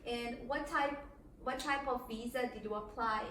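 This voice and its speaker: A woman from Brazil, a native Portuguese speaker, hosts a relaxed interview in English with friendly, slightly hesitant phrasing. Her voice sits a little high. She is recorded from a distance in a reverberant room with a faint hum behind her. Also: normal speed. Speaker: host. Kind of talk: casual interview. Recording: roomy echo, mic at a distance, faint background hum.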